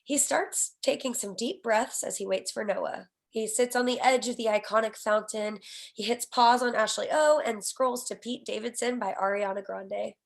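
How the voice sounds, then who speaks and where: A woman's voice: fairly high pitch, normal speed, creaky. A woman reading the scene headings and action lines of a screenplay as the narrator at a table read. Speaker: stage directions narrator.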